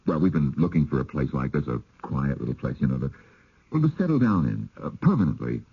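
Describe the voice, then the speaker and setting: An actor playing a polite, weary city husband in a radio drama, earnest and somewhat hesitant. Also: male voice, a bass voice, sing-song, quick pace, vocal fry, breathy edge, full character voice. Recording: dull old radio recording.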